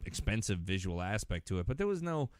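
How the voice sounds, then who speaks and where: A man talking casually and informally, as in a relaxed podcast conversation between friends. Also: on the low side, fast, clear, resonant tone, no echo, studio quality. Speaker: podcast speaker. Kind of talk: casual conversation about concerts.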